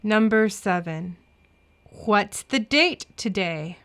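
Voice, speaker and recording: Female language-lesson reader, slow and careful, crisp bright tone, children's material. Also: medium pitch, lively intonation. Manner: carefully enunciated.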